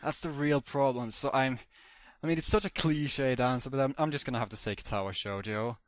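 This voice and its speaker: A man's voice: medium pitch, brisk, no room sound. A man chatting casually as a podcast guest, hesitant and often repeating himself. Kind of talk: casual chat.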